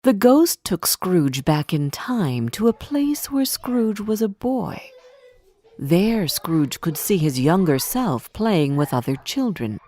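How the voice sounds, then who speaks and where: A woman narrating a storybook for English learners in a deliberate, expressive manner. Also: lowish voice.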